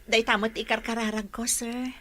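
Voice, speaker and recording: A woman's voice: fairly high pitch, flat delivery, moderate pace, pushed and bright. An actress playing a young, caring female caregiver in a radio drama, speaking politely. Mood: concerned. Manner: polite, addresses others as sir.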